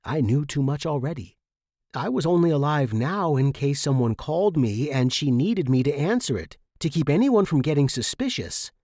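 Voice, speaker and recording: Expressive male audiobook narrator, dry sound. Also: a little high, moderate pace, dry recording. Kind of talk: scripted first-person fiction narration.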